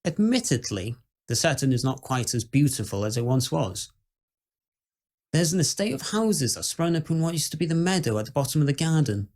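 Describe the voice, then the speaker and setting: A man's voice: a little high, sing-song, darkish tone. Man playing a wry, genteel English heir. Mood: wry, unruffled. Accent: British. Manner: formal, wry, measured. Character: genteel, unruffled English heir recounting his haunted family house. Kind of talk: scripted ghost story monologue.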